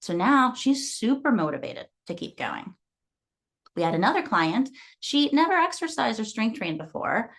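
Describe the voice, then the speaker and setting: A woman's voice: on the high side, big pitch swings, moderate pace, gravelly. Earnest woman from Guatemala coaching fitness. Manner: earnest, storytelling. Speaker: fitness coach and gym owner. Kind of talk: motivational talk.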